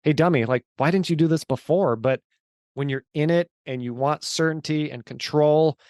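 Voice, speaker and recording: A man's voice: fairly high pitch, normal speed, darkish tone, no echo, clean studio sound. A man of forty, a guest in an interview, telling his story in an earnest, emphatic way. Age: 40s. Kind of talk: casual interview chat.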